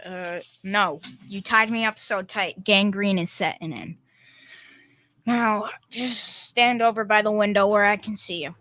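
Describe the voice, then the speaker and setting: A woman's voice: mid-range voice, steady tone, a little slow, a bit bright, natural voice. Girl playing a blustering, cowardly working-class dad. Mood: reluctant sarcasm. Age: teenager. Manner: folksy, wisecracking, ungrammatical. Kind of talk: old-time radio comedy acting.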